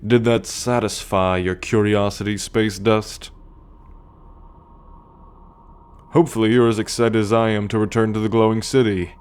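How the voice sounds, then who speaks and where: A man's voice: flat delivery, a little mellow, smooth, lightly acted. A man playing a cocky, teasing male alien scout warrior in an ASMR roleplay, talking straight to the listener with a drawl. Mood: teasing, eager. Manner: teasing, slow and drawling, with low chuckles.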